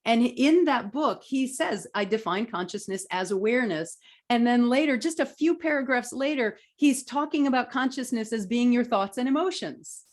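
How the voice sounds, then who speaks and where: A woman's voice: on the high side, expressive. Emphatic woman teaching a live online class. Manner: earnest, emphatic lecturing that drops to a softer, quicker voice when reading the chat. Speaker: spiritual teacher running a live online training. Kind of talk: live online teaching, reading viewer comments.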